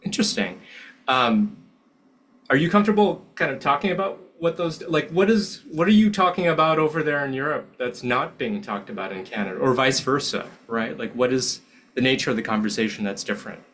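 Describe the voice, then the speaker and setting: A man's voice: mid-range voice, animated, a little fast, a bit bright. A man hosting a podcast interview, asking probing questions and checking in with his guest. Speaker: podcast host.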